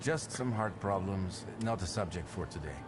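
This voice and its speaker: A formal, subdued nobleman in a video game, his voice very deep yet swinging widely in pitch. He speaks with a dry, reserved manner. Loud background noise runs under the game audio. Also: animated, normal speed. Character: reserved noble man, cousin with heart trouble.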